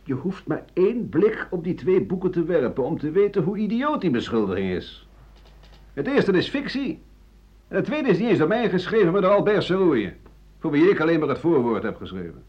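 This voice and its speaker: An actor playing a bitter, aging exiled writer-doctor in a radio drama monologue, sardonic and halting, often sinking to a husky mutter. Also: male voice, a little high, big pitch swings. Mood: indignant, defensive. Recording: muffled 1980 radio broadcast.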